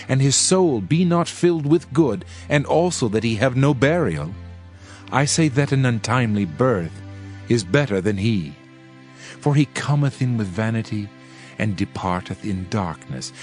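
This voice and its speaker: A man giving a dramatized scripture reading with a solemn, measured delivery. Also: medium pitch, a little mellow. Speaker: scripture reader. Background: faint background music.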